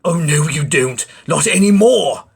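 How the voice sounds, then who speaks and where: A greedy highwayman rat from a storybook, acted out by a man, with a husky edge. He swoops up and down as he bellows scornful commands and stretches out his threats. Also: lively intonation, unhurried, exaggerated voice. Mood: menacing, scornful. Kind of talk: storybook character voice.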